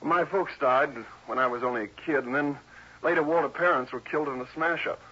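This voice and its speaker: A man's voice: a little high, fairly quick, pushed and bright, slightly rough. An actor playing a genial, glib American man in his twenties, hosting in mid-century radio drama style. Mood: earnest. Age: 20s. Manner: chatty, hospitable, glib. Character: glib, genial young nephew hosting a party, smooth talker. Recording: dull, hissy old radio recording.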